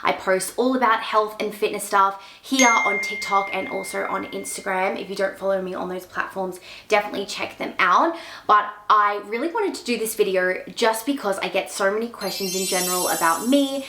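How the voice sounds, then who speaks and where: A woman's voice: medium pitch, moderate pace, roomy sound, noisy background. A woman, chatting casually to camera in a Q&A vlog, full of "like" and "um". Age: 20s. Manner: chatty, many fillers like "like" and "um". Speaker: fitness content creator.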